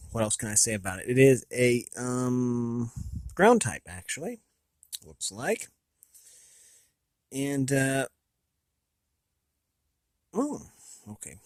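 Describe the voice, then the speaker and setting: An American man hosting a casual, unscripted review, thinking aloud in a halting, rambling way with a slightly raspy voice. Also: medium pitch, big pitch swings, darkish tone, no room sound, studio quality. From United States. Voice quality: slightly raspy from long talking. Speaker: video host.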